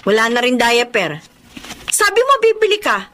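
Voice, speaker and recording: A woman's voice: fairly high pitch, very animated, normal speed, pushed and bright. An actress playing a young mother and mistreated wife in a radio drama, pleading and timid. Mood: pleading anger.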